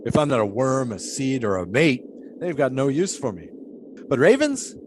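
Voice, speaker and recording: An actor playing a pious, philosophical 1920s flagpole sitter who talks in a folksy, preachy way. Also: male voice, mid-range voice, big pitch swings, moderate pace, background noise, natural voice. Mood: musing. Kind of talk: audio drama acting.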